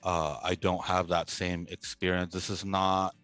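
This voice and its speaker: Deep-voiced Peruvian man, expressive interviewee, light music bed. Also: unhurried. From Peru. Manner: animated, expressive storytelling. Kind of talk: casual interview answer.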